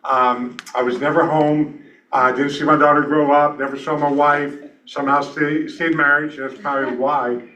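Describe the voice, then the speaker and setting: A man in his sixties hosting an event, talking to the audience in a brisk, folksy, self-deprecating way. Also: a little high, little pitch movement, bright, forceful tone, recorded from a distance, poor audio. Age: 60s. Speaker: event host and speaker. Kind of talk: lively stage talk to an audience.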